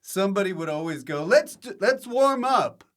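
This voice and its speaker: A man's voice: high voice, expressive, moderate pace, fairly bright tone, a little hiss or hum. Joking man hosting a podcast. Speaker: podcast host. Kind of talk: casual comedic interview chat.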